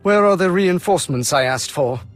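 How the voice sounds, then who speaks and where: An actor playing a stern, impatient military colonel in a video game, clipped and commanding. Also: male voice, high voice, expressive, normal speed, faint music behind. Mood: brisk, stern.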